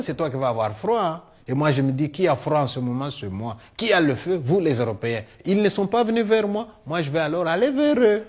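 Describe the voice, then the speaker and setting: A middle-aged man with a Congolese French accent tells comic stories in a one-man show, acting out dialogue in an animated way. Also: on the high side. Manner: animated storyteller, acting out dialogue, punctuating with "hein". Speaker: stage storyteller and comedian.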